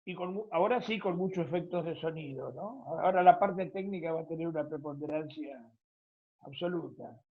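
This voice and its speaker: Man, Argentine accent, slightly high pitch, gravelly, conversational interviewer, tinny phone-like recording. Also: fairly quick. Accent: Argentine Spanish. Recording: thin phone-like line.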